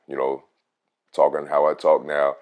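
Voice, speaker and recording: Very deep-voiced man, animated storyteller. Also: a little slow. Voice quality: very deep rich voice. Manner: animated, swings loud on emphasis. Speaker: radio host. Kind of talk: casual storytelling vlog.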